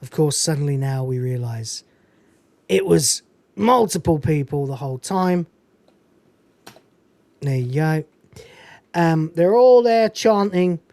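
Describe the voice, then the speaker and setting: A man's voice: a little high, slow, pauses often. A man hosting a casual film review, talking animatedly and swearing now and then. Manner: animated, quotes film lines with put-on voices and swearing. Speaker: podcast host.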